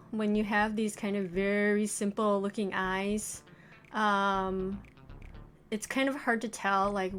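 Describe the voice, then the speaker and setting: A woman's voice: mid-range voice, a bit bright. A woman in her forties giving casual first-impressions game commentary, thinking aloud in hesitant, trailing phrases. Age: 40s. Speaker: let's play host, indie game developer. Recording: music playing behind the voice. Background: video game music.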